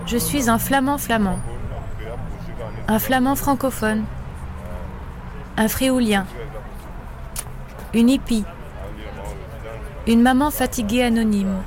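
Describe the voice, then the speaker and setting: A woman giving calm, short phrases about herself, one at a time. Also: on the high side. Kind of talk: short spoken self-descriptions. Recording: outdoor field recording with street noise. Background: traffic and city ambience.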